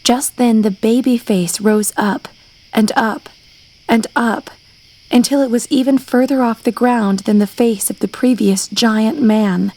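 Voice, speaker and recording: A woman's voice: a little high, a little slow, dark tone, low-quality recording. A woman reading a scripted scary story as its narrator.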